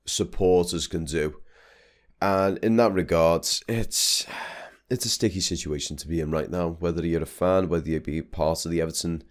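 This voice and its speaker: A young man, talking casually and rambling a bit as he vlogs over drone footage. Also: deep voice. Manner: chatty, rambling with restarts. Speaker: vlogger.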